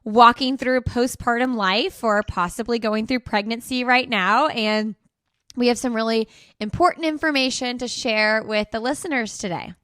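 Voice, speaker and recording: A woman's voice: fairly high pitch, moderate pace, a bit bright, no room sound, studio quality. A woman hosting a parenting podcast, upbeat and enthusiastic in casual conversation. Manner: bubbly, enthusiastic. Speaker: podcast host.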